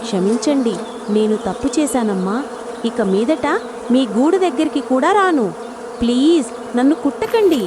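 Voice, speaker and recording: A woman's voice: high-pitched, noisy background, voice pushed up. A woman voicing a playful, hasty little male bear cub in a children's storybook, talking in an eager, childlike way. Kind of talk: storybook character voice.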